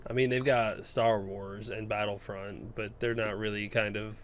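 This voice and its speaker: A man's voice: lowish voice, little pitch movement, moderate pace, a little hiss or hum. A man co-hosting a podcast, talking in casual conversational style. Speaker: podcast co-host. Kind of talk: casual chat.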